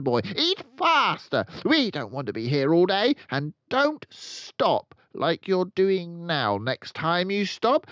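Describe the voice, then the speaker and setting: A man voicing a tyrannical, bullying headmistress in a storybook, shouting and drawing out commands. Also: sits high, clean tone, no room sound, muffled recording, voice pushed up, full character voice. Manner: shouting, drawn-out commanding delivery. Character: Trunchbull, tyrannical bullying headmistress, loud and menacing woman.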